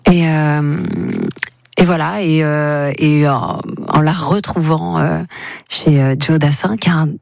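A woman with a low, gravelly voice talks about music as a radio guest. She hesitates often and gropes for words, filling the gaps as she goes. The recording is dry and close to the mic. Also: fairly even intonation, slow pace, darkish tone, no room sound. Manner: hesitant, searching for words, many fillers. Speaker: singer-songwriter guest. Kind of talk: casual interview talk.

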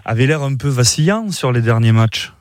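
Brisk male radio host, dry studio. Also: medium pitch, animated, no room sound. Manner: brisk, friendly questioning, laughs easily. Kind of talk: radio sports interview.

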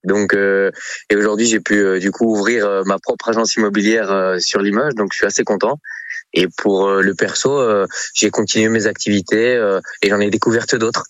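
A man's voice: on the low side, fairly even intonation, normal speed, a bit bright, dry recording. A man from Belgium with a faint Belgian accent, answering casually and hesitantly as a guest in a radio interview. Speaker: interview guest, real estate agent.

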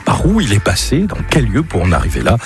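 Male radio host, animated delivery, teasing and playful, faint music underneath. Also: lowish voice, fast talker. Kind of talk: radio interview.

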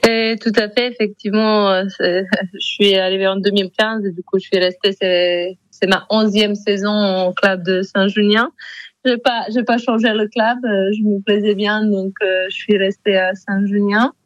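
A woman's voice: medium pitch, little pitch movement, normal speed, dry recording, dull audio. A woman in her thirties with a Montenegrin accent, a handball player answering radio interview questions with many fillers and restarts. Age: 30s.

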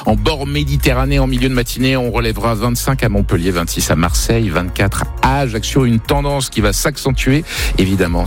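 A man giving the morning weather on radio in a relaxed, friendly way, with small hesitations. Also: lowish voice. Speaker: weather presenter. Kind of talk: live radio weather report. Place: radio studio.

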